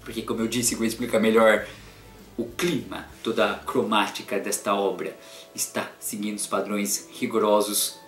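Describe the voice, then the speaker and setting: A man hosting a comedic art history lesson in a theatrical way, jumping between loud sarcastic outbursts and hushed musing. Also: fairly low pitch, flat delivery, moderate pace, echoey room, soft background music. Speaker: art history channel host. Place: in his room.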